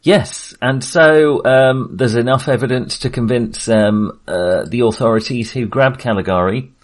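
A man co-hosting a casual film discussion, speaking in a halting way as he thinks aloud. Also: mid-range voice. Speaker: podcast co-host.

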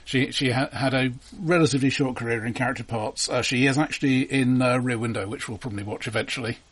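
A man discussing films casually and knowledgeably, in a dry, deliberate way, as a podcast co-host. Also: mid-range voice, steady tone, fairly bright tone, husky. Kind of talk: casual, informed film discussion.

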